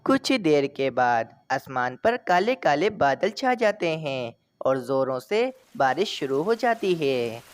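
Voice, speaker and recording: Low-voiced, very expressive woman storyteller. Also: unhurried, hardly any pauses. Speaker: narrator. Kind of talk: scripted children's story narration.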